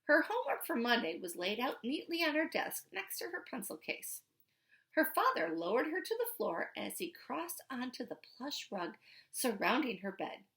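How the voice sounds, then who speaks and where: A woman narrates a children's story aloud. Her voice sits fairly high and swings expressively up and down, with a noticeable fry running through it. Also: fairly bright tone. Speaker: storybook reader.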